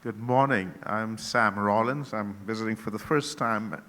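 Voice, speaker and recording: A man from Saint Kitts who is attending a conference introduces himself slowly and hesitantly. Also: lowish voice, a bit bright. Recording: echoey hall, faint background noise.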